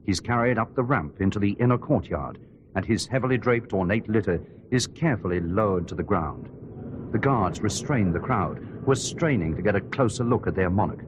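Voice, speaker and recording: A man playing a radio reporter who gives solemn, measured, descriptive live commentary on a royal ceremony. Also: lowish voice, expressive, lo-fi sound, close to an everyday voice. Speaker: outside-broadcast commentator. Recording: clear background noise and ambience.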